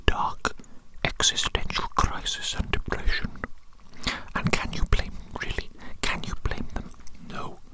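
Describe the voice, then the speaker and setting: A performer whispering an ASMR roleplay as a doting, rambling evil scientist, with breathy, repetitive, grandiose and formal phrasing. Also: natural voice, low-quality recording. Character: evil mad scientist doctor, doting, rambling, existentially brooding creator.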